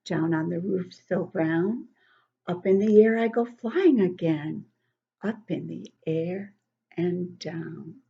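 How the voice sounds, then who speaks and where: An older woman giving an interactive read-aloud lesson for young children, encouraging and expressive, asking questions and explaining things simply. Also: on the low side, creaky, slightly rough, highs cut off. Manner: encouraging, asks questions and pauses to praise answers. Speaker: children's book club teacher reading poems aloud.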